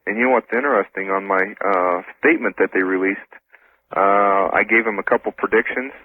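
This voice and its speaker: A man's voice: low-pitched, steady tone, brisk. A man answering casually as a guest in a radio interview. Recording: tinny narrow phone line.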